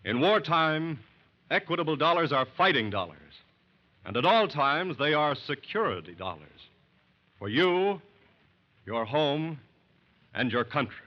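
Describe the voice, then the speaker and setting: A man's voice: a little high, sing-song, unhurried, long pauses. A man with an American accent announcing in a formal, emphatic, scripted 1940s radio style. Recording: muffled 1940s radio recording.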